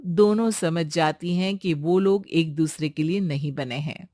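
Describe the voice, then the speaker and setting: A woman's voice: deep voice, a little slow, warm tone, no room sound, studio quality. A woman reading scripted storybook narration as the narrator of a cartoon tale.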